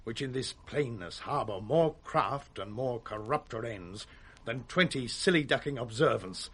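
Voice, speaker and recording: An actor playing an imperious, short-tempered nobleman in 1960s British radio Shakespeare, commanding and contemptuous, with a rough edge. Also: medium pitch, animated, a little slow, fairly bright tone.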